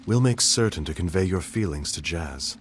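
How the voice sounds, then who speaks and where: A man's voice: low-pitched, animated, moderate pace, a little hiss or hum. An actor playing a cool, probing young nobleman in a game cutscene, speaking in a formal, precise, questioning way. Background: game soundtrack music.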